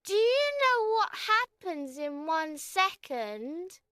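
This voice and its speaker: A man voicing a cheerful, proud little male magic bunny in a bedtime story, bouncy and gentle. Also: extremely high pitch, very animated, slow, no echo, studio quality, pitched above the performer's own voice, exaggerated voice.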